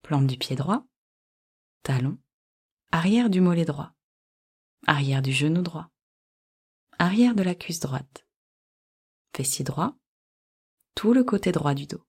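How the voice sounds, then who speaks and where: A woman guiding a yoga nidra relaxation in calm, measured short instructions. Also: deep voice, breathy edge. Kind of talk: guided relaxation meditation.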